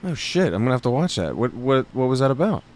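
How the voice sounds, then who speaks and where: A man hosting a podcast in casual chat, excitable and quick to swear and laugh. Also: medium pitch. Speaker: podcast host.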